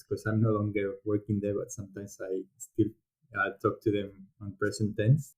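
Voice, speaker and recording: Low, flat-voiced man with a Spanish accent. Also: rough recording. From Spain. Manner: thoughtful, with frequent ums and restarts. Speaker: podcast guest, volunteering program director. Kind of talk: casual interview talk.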